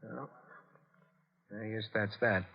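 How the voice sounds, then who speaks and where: An actor playing a dogged police lieutenant in an old radio thriller, probing in a folksy, offhand, insinuating way. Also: male voice, on the low side, steady tone, takes it slowly, creaky, slightly rough, heavily acted. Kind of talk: 1940s radio thriller acting. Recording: muffled, faintly noisy old radio recording.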